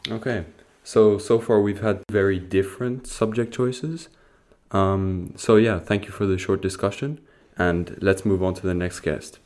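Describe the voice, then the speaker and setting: A man's voice: low voice, steady tone, unhurried, darkish tone. A teenage boy co-hosting a student podcast, moving the interviews along with set phrases. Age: teens. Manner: scripted segment transitions.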